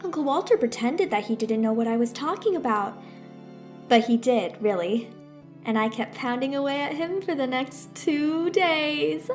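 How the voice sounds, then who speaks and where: A woman's voice: sits high, lively intonation, moderate pace. A woman playing a bitter, scheming teenage girl in a melodramatic old-time radio suspense play, with music under her. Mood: scheming narration. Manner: melodramatic, resentful. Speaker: narrating lead in a radio melodrama.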